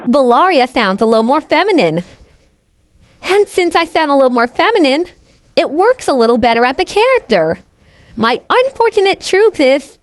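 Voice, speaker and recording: A man's voice: very high-pitched, sing-song, moderate pace, no echo, pitched above the performer's own voice. A man voicing a sweet, cheerful female plush toy dragon for a cartoon, girlish and playful, through a feminizing AI voice changer. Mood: explaining sweetly. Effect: AI voice changer to sound feminine. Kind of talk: cartoon character voice.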